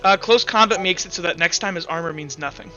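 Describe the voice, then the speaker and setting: A man running a tabletop roleplay session as game master over a voice call, halting and thinking aloud. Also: sits high, sharp tone, muffled recording, soft background music. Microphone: online voice call.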